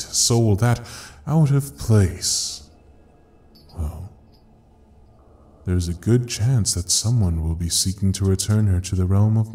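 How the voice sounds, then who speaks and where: A man playing a sinister, grandiose ruler of hell in an audio drama, mocking and seductive, with theatrical, drawn-out pauses. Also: lowish voice, a little mellow. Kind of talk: dramatic audio roleplay acting.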